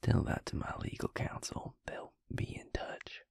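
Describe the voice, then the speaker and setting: A man's voice: unhurried, a little mellow, dry recording, whispered, lightly acted. A man playing an easygoing, teasing vampire with a Southern US accent and a dry drawl in an audio roleplay. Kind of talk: intimate audio roleplay acting.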